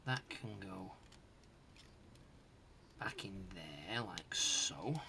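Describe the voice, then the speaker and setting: A man's voice: sits low, expressive, very slow, vocal fry, noisy background. A man giving a casual hands-on repair commentary, muttering to himself in short fragments as he works. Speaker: host of a retro tech repair channel.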